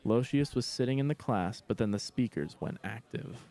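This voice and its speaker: A man's voice: medium pitch, expressive, moderate pace, mellow. A man reading a scripted story aloud as its narrator.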